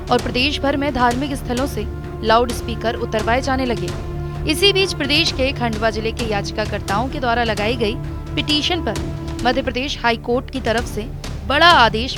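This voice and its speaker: A woman delivering scripted news narration as a voice-over reporter. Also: on the high side.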